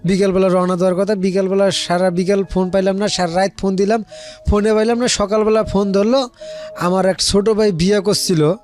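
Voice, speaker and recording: A man's voice: high-pitched, fairly even intonation, a little fast, darkish tone, faint music behind. A man with a Bangladeshi accent telling a personal story in casual, colloquial speech, rambling and repeating phrases as he quotes conversations. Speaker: guest telling their life story.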